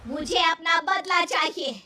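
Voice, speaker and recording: A woman's voice: very high-pitched, sharp tone, heavily acted. A woman voicing a young, vengeful ghost bride in a horror cartoon, theatrical and threatening. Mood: vengeful, raging. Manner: theatrical, threatening, drawn-out delivery. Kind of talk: Hindi horror cartoon voice acting. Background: music.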